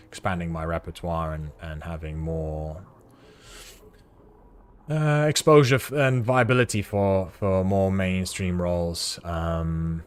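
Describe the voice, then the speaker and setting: A low-voiced British man talks to his stream chat while he plays, rambling and friendly. Faint hiss sits under his desk mic. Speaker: game streamer. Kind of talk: casual livestream chat while playing.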